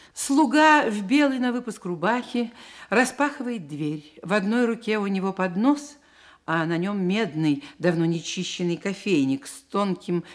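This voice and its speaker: Female narrator for radio drama, slightly low register, literary storytelling.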